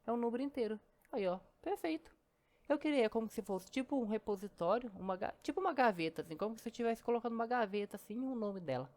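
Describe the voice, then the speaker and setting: A woman's voice: a little high, lively intonation, quick pace, darkish tone. A woman teaching programming in a casual screen-recorded lesson, chatty and step by step, often saying "ó" and "né".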